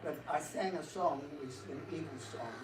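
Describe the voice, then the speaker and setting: A man with a slightly high voice speaks informally to a group, some way from the mic in an echoey room. He tells stories in an animated way, often repeating words as he searches for the next one. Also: moderate pace. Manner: animated storyteller, acts out quotes, hesitant repetitions. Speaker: devotional speaker and drama director taking questions. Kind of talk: informal spiritual talk with questions. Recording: echoey room, distant mic, faint noise.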